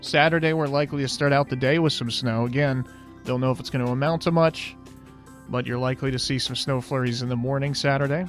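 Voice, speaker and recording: A man's voice: medium pitch, steady tone, fast, faint music behind. A man hosting a call-in radio show in a casual, rambling way, his voice tired and fading. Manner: easygoing and rambling, with frequent "uh"s.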